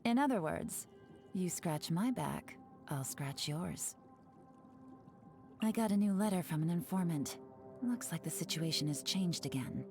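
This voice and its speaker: A woman voicing a sly, laid-back young woman spy for a video game, speaking in a smooth, teasing, unhurried way. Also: lowish voice, lively intonation, darkish tone, faint music behind. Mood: lazy, drowsy. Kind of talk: video game character voice lines.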